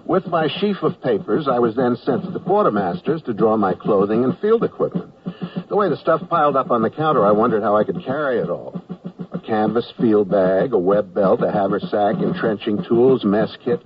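Man acting a hapless army draftee, lively swooping pitch, wry, polite, comedy narration, muffled noisy radio recording. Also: normal speed, lightly acted. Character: hapless, polite newly drafted war correspondent.

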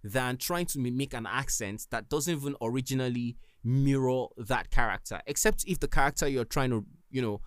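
A man's voice: medium pitch, normal speed, hardly any pauses, no echo, studio quality. A man with a Nigerian accent, hosting a solo podcast and giving earnest, emphatic advice. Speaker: podcast host.

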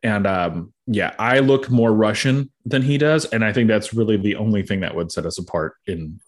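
A man's voice: fairly low pitch, moderate pace. A man in his thirties co-hosting a casual podcast, talking loosely with lots of fillers and frequent laughter. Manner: rambling, laughs often, many fillers. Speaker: podcast co-host.

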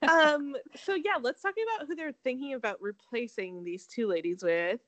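A woman's voice: fairly high pitch, moderate pace, a bit bright, no room sound. A young woman from Connecticut co-hosting a casual gossip chat, blunt and catty, laughing often and filling with ums and likes. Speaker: podcast co-host.

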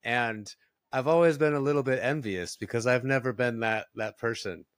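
A man's voice: mid-range voice, moderate pace, smooth, no room sound, clean studio sound. A man hosting a podcast, talking and asking questions in a casual conversational style. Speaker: podcast host. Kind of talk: casual interview chat.

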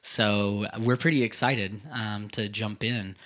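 A man's voice: fairly low pitch, little pitch movement, takes it slowly, a bit bright. A man co-hosting a casual tech podcast, chatty and laughing often, with lots of fillers. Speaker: podcast co-host. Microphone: streaming setup mic.

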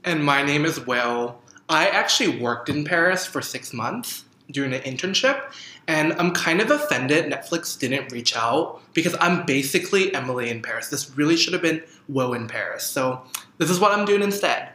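A young man in his twenties co-hosting a casual TV recap chat, animated and exaggerated, saying "like" constantly. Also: a little high, moderate pace. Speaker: co-host. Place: room in Canada. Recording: roomy echo.

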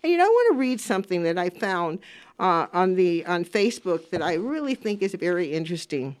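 A woman's voice: on the low side, sing-song, a little fast. A woman in her seventies hosting a talk radio show, speaking casually and emphatically, repeating phrases for stress as she gives her opinions. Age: 70s. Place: radio studio.

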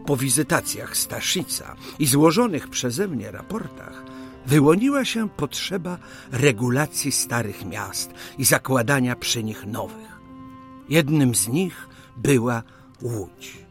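A formal, earnest official of the 1820s in a radio play. His mid-pitched voice moves through old-fashioned phrases with deliberate pauses, over prominent music. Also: sing-song. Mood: nostalgic, reflective. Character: 19th-century Polish official reminiscing fondly, formal and earnest. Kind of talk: audio drama acting and diary narration.

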